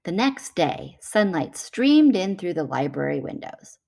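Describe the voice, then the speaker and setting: A woman reading a children's picture book aloud in an animated storytelling manner. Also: fairly low pitch, unhurried, gravelly.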